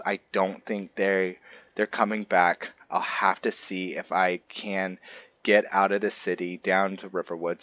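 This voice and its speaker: A man doing roleplay game commentary and reading aloud as a channel host, halting and often stumbling over his words and restarting. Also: low voice, fairly bright tone, no room sound, highs cut off.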